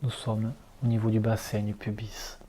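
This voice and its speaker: A man leading a guided relaxation meditation as an instructor, speaking calmly in short, unhurried instructions. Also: lowish voice, a little hiss or hum, rough recording. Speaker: yoga nidra relaxation instructor.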